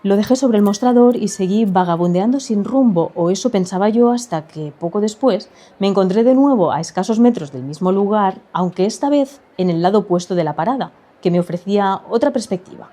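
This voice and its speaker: Mellow woman narrating a literary story. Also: mid-range voice, animated, fairly quick, few pauses. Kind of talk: first-person literary storytelling, scripted narration.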